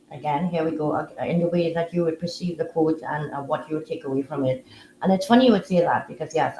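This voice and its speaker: A woman hosting a casual live audio room, talking in a relaxed, musing way with frequent fillers. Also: sits low, roomy sound, a little hiss or hum, rough recording. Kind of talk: casual live-stream chat.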